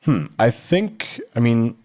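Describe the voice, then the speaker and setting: A man's voice: mid-range voice, sing-song, slow pace, darkish tone, no room sound, clean studio sound. A man from Switzerland, speaking reflectively as an interview guest.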